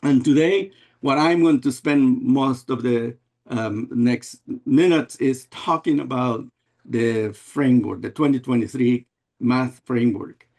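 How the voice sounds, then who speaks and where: An older man from America, giving an unhurried webinar presentation over a video call and often restarting his phrases. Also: mid-range voice, a little mellow. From Guatemala and El Salvador. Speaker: guest presenter, math educator.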